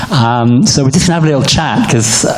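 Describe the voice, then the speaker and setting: A man's voice: mid-range voice, slight background noise, rough recording. A man hosting an on-stage interview at an evening event, chatty and jokey, laughing between phrases. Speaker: event host interviewing a runner. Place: evening event in front of an audience.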